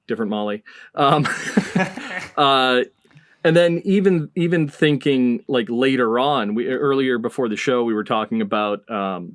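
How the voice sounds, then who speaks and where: A man's voice: mid-range voice, dry recording, studio quality. A man hosting a podcast in a casual, conversational interviewing style. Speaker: podcast host.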